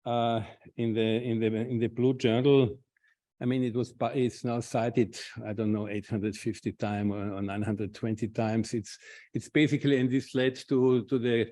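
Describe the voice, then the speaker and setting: Halting Austrian man giving a talk. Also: mid-range voice, steady tone, dark tone. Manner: halting, many restarts and repeated words. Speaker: invited speaker, clinician researcher. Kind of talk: conference talk with slides.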